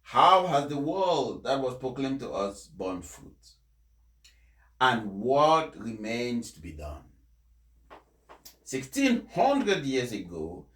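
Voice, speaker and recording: The recording has a clearly echoey, roomy sound. A man presents in a medium-pitched voice. His delivery is deliberate and solemn, with the emphatic phrasing of someone reading out a formal address. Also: big pitch swings, fairly bright tone. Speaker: presenter reading out a speech for followers. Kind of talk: formal read-aloud address with informal introduction. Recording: echoey, reverberant room.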